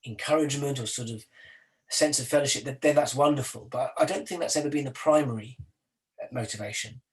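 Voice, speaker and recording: A man's voice: mid-range voice, distant mic, rough recording. Guy in his middle years, poet on a video call, sits a bit back from the mic, stumbles and repeats words now and then. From South Wales. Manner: thoughtful, with stammer repetitions and fillers. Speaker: poet, guest. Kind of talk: casual webinar conversation.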